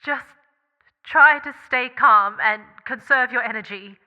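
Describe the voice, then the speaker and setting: An actress playing an anxious young rescuer in an audio drama, urgent and hesitant as she tries to stay steady. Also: fairly high pitch, a little slow, clear, resonant tone, lightly acted. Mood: worried, reassuring. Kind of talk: scripted audio drama acting. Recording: dull, muffled lo-fi sound.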